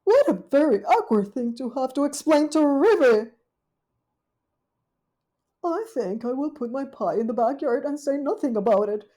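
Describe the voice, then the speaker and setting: A woman's voice: high-pitched, lively intonation, moderate pace, warm tone, pitched above the performer's own voice. A woman voicing a worried, well-mannered female dog in a storybook, talking anxiously to herself. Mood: fretful. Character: fretful proper female dog, anxious and polite.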